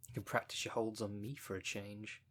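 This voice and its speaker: Casting: man to play necromancer fight coach for ASMR audio roleplay, lowish male voice, wry and caring, casual and colloquial delivery. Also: flat delivery, brisk, a bit bright. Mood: warm, encouraging. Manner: chatty, colloquial, wry, consoling.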